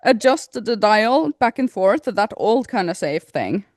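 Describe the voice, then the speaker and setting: A woman's voice: mid-range voice, animated, a little slow, dry recording, clean studio sound. A woman from Norway co-hosting a podcast in a casual, informal, jokey chat style. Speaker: podcast co-host.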